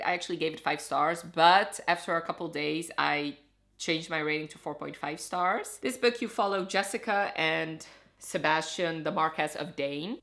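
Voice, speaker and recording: A woman with a low voice who grew up speaking Dutch. She talks in a casual, conversational reviewing style, with expressive emphasis on her opinions. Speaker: book reviewer. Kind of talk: casual chatty book review to camera.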